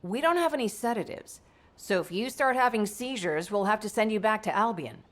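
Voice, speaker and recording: An actress playing a composed, scholarly female doctor and mother in a video game, speaking in a measured, articulate, matter-of-fact way. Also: medium pitch, expressive, fairly bright tone, close to an everyday voice. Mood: businesslike. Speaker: medical doctor character. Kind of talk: scripted game dialogue, explanatory briefing.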